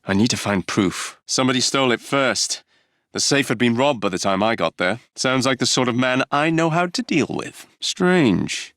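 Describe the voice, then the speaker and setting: An actor with an English accent playing a cocky, roguish young Victorian gang leader in a video game, wry, brash and teasing. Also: male voice, medium pitch, animated, normal speed, a bit bright, dry recording, studio quality. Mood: purposeful. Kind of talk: dramatic video game dialogue.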